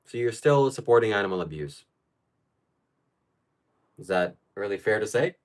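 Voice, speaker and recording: Live streamer from Ukraine, male, lowish voice, blunt and opinionated, chatty Q&A. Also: expressive, moderate pace. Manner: blunt, opinionated, answers chat questions aloud. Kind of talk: casual live chat reading viewer questions.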